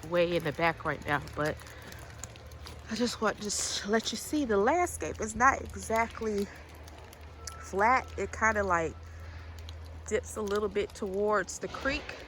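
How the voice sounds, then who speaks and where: A woman's voice: medium pitch, expressive, measured pace, long pauses. Lady with a cold rambling on about her land, outdoors, lots of rain and wind noise behind her. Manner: chatty, loose and rambling, repeats words. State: has a cold, clears throat. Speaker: farm owner vlogger. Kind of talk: casual walking tour vlog.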